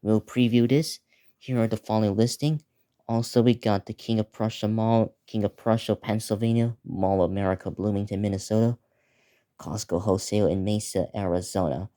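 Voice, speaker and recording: A teenage male video creator giving a casual, unscripted preview, talking in a halting, repetitive way as he lists things. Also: on the low side, fairly even intonation, dark tone. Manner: halting, repetitive, listing place names.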